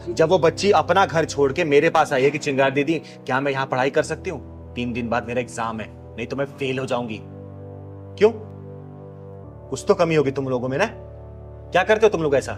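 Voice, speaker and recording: A man's voice: mid-range voice, close to an everyday voice. A man playing a stern, motherly kinnar elder sister who lectures and commands in a Hindi moral drama. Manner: preachy, commanding, rhetorical questions. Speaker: lead performer in moral drama sketch.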